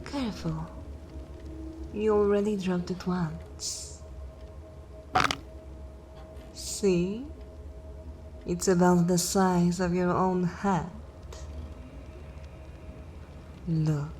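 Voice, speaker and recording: A woman's voice: on the low side, steady tone, warm tone, soft background music. A woman voicing a seductive, imperious vampire mistress in an ASMR fantasy roleplay, commanding and coldly mocking, sometimes dropping into a whisper. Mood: menacing, teasing. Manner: slow, commanding, theatrical, breathy whispering at times.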